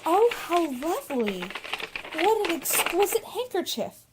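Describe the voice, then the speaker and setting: A woman's voice: extremely high pitch, very animated, measured pace, noisy background, heavily acted. A woman acting a sweet, vibrant young woman in love in a theatrical radio play. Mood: delighted.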